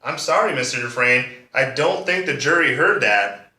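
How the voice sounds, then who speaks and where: A man's voice: medium pitch, expressive, normal speed, sharp tone. A man playing a sardonic, accusing district attorney who questions a witness pointedly in a courtroom monologue. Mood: pressing, sardonic. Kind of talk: monologue performance of a courtroom drama. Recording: roomy echo, distant microphone.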